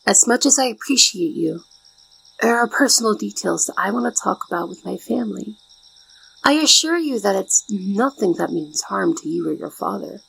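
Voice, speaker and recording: A shy young maid played by a woman in an ASMR roleplay, devoted and deferential. Her gentle voice is breathy and heavily creaky, with formal, careful wording. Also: medium pitch, lively intonation, moderate pace, no room sound, full character voice, low-quality recording. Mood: gently firm, grateful.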